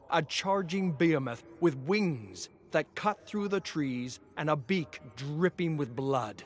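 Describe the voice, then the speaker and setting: A man narrating a dramatic story in a theatrical, deliberate way, moving between hushed and intense delivery. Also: medium pitch.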